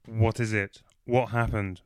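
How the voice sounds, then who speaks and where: A man voicing a neat, composed man who gives crisp, commanding orders. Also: lowish voice, little pitch movement, unhurried, a little mellow, no echo, close to an everyday voice.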